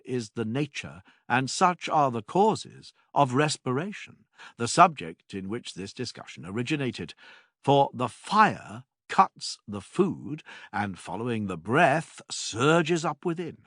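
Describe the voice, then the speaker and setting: A man reading a classical philosophy text as scripted narration, with measured, deliberate phrasing and emphatic pauses. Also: mid-range voice, fairly bright tone, dry recording, studio quality.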